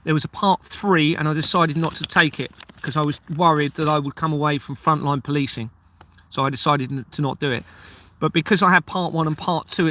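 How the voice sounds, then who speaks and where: Earnest rambling Englishman, bright forceful voice. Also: on the high side, a little hiss or hum. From Kent, southeast England. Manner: rambling, earnest, loses his train of thought. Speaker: livestream host, former police officer. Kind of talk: casual livestream chat reading viewer comments.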